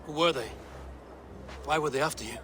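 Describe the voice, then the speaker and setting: An actor playing a stern, blunt male Viking warrior in a game cutscene, speaking in a steady, measured way. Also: on the high side, background noise, close to an everyday voice. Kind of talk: video game cutscene acting.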